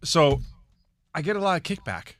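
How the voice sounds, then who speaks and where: A man with a loud, booming voice, a real estate agent guest talking casually on a radio show in a studio. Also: animated, moderate pace.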